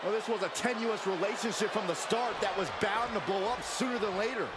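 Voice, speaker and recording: Man acting a very high-pitched, excitable commentator. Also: fairly quick, close to an everyday voice. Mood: excited. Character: excitable male pro wrestling commentator. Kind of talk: sports commentary in a video game. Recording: loud arena crowd and noise.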